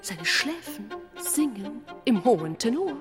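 A woman narrating a radio play in a solemn, poetic, dreamlike way, measured and incantatory. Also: on the high side, big pitch swings, music in the background.

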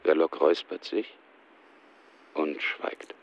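A man narrating a scripted radio drama in a measured, literary delivery. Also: a bass voice, steady tone, gravelly, highs cut off.

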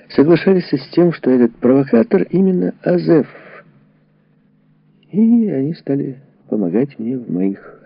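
A gravelly male narrator reads a historical memoir with a lively, wide-swinging intonation. His delivery is deliberate and dramatic, broken by pauses. The recording is dry and somewhat dull. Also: medium pitch, no room sound. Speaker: audiobook narrator.

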